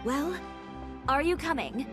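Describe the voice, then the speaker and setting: A woman's voice: high voice, expressive, takes it slowly. An actress playing a self-assured, fiery young woman fashion designer in a video game, speaking in a cool, assertive, dryly wry way. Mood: dry, confident. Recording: music playing behind.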